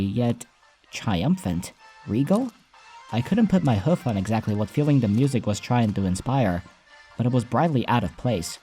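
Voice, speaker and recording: A man's voice: medium pitch, dark tone, slightly rough. A man reading a scripted first-person story as an audiobook narrator, with soundtrack music behind him.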